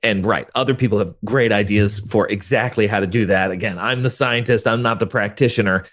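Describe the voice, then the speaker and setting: Guy with a lowish voice, expert guest on an interview, explains studies in a chatty animated way. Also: a little fast. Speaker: guest expert, psychology professor.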